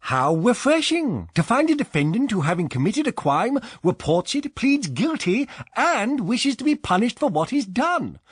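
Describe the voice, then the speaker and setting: A lofty newspaper columnist approves in a husky, high, widely swooping voice. The sound is dry and close. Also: male voice, sing-song, normal speed, few pauses, dry recording, full character voice. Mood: admiring. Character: admiring male newspaper columnist.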